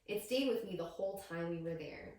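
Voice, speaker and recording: A woman reading a picture book aloud to young children as a teacher, with expressive storytelling and questions to her listeners. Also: fairly low pitch, a little fast. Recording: very echoey room, distant microphone.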